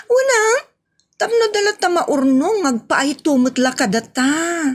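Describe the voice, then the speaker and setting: A woman's voice: high voice, animated, measured pace. A woman playing a wheedling, money-minded character in a comic audio drama, pleading as she speaks.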